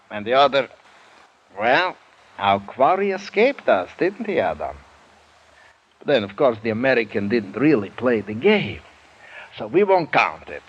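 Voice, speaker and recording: A suave, cruel aristocratic hunter. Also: male voice, medium pitch, slight background noise. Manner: urbane, mocking, drawn-out delivery. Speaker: radio drama actor. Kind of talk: 1940s radio acting. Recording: dull old radio broadcast recording.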